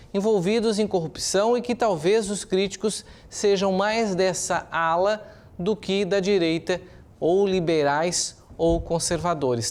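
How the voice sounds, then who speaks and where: A man with a Brazilian accent giving opinionated, explanatory news commentary as a journalist, speaking semi-improvised and posing rhetorical questions. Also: high voice.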